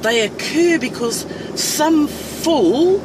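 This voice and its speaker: Kiwi auntie ranting slow and blunt, low voice, phone far off in a noisy van. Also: female voice, expressive. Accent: New Zealand. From New Zealand. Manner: blunt, fiery, swears freely. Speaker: vlogger. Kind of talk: ranting to camera while driving. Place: inside a van in traffic. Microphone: phone camera. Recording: distant phone mic with loud road noise.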